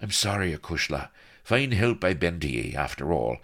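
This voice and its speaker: A man's voice: deep voice, sing-song, moderate pace, a bit bright, full character voice. A man voicing a roguish Irish male adventurer with an Irish brogue, rueful and defiant.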